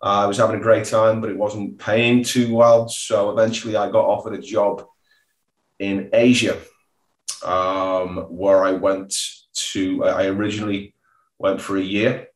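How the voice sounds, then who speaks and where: A man's voice: lowish voice, level tone, a little slow. A man, telling his story as a podcast guest. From Merseyside, northwest England. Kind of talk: relaxed personal storytelling. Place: indoor living room, seated back from the screen. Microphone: video call. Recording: roomy echoing video call.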